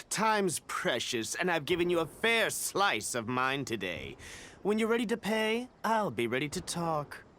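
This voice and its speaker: An actor playing a crooked, smug merchant in a video game, haggling in a theatrical, scornful manner. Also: male voice, a little high, big pitch swings, a little slow, bright, forceful tone, a little hiss or hum, heavily acted. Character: indignant, pedantic-hating crooked male merchant, scheming and smug. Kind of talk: video game character acting.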